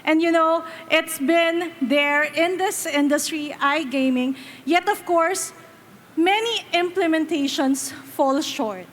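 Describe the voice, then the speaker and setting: A woman's voice: very high voice, slow pace, slight background noise. A woman journalist moderating a conference panel on stage, upbeat and enthusiastic.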